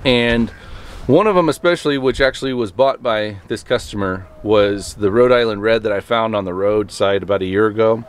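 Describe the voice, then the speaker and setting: A man's voice: lowish voice, normal speed, clear, resonant tone. Man vlogging outdoors at arm's length. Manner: rambling, with many ums and restarts. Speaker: homestead vlogger. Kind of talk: casual talk to camera. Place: outdoors on a farmstead, by the coops. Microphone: handheld camera at arm's length.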